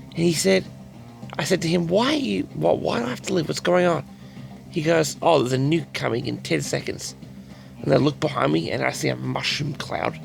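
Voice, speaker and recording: A man speaks in a heavily creaky, raspy voice that sounds short on sleep. He rambles casually to his listeners, doubling back on his sentences. His pitch sits a little high for a man, and music plays behind him. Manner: rambling, repeats and restarts sentences. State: tired, raspy from a late night. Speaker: audio log host. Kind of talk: casual rambling chat to audience.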